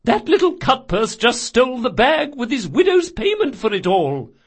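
Man putting on a fierce, shrill old grandmother. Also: big pitch swings, moderate pace, breathy edge, heavily acted. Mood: furious, commanding. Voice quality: strong carrying voice. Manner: emphatic, commanding. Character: bossy, fierce old grandmother shopkeeper with motherly streak.

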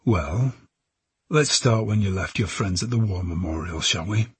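Man voicing a soft, husky, gentle police detective. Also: low voice, normal speed, no room sound. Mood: soft, probing. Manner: soft-spoken, reassuring. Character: calm, gentle male police detective inspector questioning. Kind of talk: audiobook character voice.

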